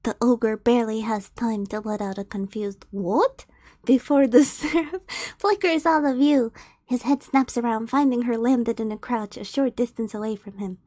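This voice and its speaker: A woman's voice: fairly high pitch, mellow, dry recording, clean studio sound. A woman streamer reading fanfiction aloud, giggling and stumbling over the words as she goes.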